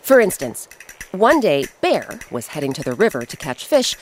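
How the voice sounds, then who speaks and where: A woman hosting and narrating a scripted children's story with expressive, emphatic delivery and dramatic pauses. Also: low voice, a little hiss or hum. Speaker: host and narrator. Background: music.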